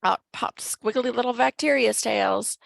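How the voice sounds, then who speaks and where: Female storybook narrator, expressive read-aloud for children, heavy vocal fry, dry close sound. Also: on the high side, a little slow, no echo. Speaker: storybook reader at a school.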